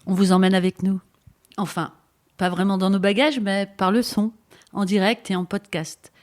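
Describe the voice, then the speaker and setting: Lively woman presenting a radio project. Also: medium pitch, moderate pace. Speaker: co-host of a bicycle radio project.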